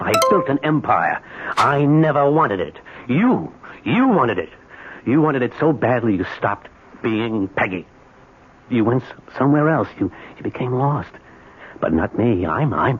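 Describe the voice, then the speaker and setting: An actor playing a bitter, nostalgic husband with a deliberate, insistent delivery and dramatic pauses in an old-time radio drama. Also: male voice, medium pitch, sing-song, breathy edge. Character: bitter, nostalgic husband confronting his wife. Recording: muffled, noisy old radio broadcast.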